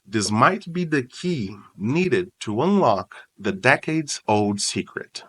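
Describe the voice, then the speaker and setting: A man reading scripted true crime documentary narration in a solemn, suspenseful manner with deliberate dramatic pauses. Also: medium pitch, big pitch swings, a bit bright, breathy edge, no echo. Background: background music.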